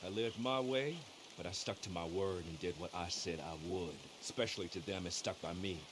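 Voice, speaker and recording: An actor playing a rough, proud, vengeful American outlaw in a western video game, plain-spoken and boastful. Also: male voice, low-pitched, a little fast, noisy background, close to an everyday voice. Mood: emphatic, proud. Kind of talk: video game cutscene acting.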